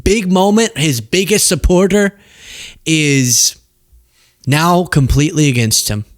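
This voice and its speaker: A man's voice: fairly high pitch, no room sound, clean studio sound. An American man hosting a political show, reacting to news clips in a casual, sarcastic and incredulous conversational way. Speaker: political commentary show host.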